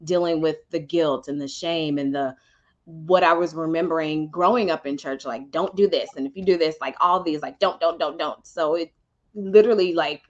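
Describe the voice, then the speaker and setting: A woman from Houston, Texas, a podcast guest sharing her faith testimony in a reflective, halting way with many ums. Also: low-pitched, lo-fi sound. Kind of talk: personal testimony in conversation.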